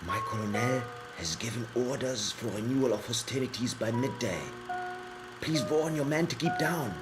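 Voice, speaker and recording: A man's voice: medium pitch, moderate pace, loud music behind the voice, lightly acted. A man voicing a courteous soldier delivering warnings in a formal, polite war cartoon style. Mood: grave, courteous. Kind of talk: dramatic war cartoon acting.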